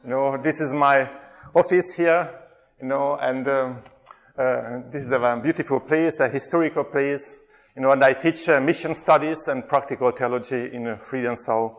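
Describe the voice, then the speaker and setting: A man's voice: medium pitch, moderate pace. A middle-aged man with a Hungarian accent giving a workshop talk, hesitant and chatty, putting questions to the audience. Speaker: workshop presenter, theology lecturer.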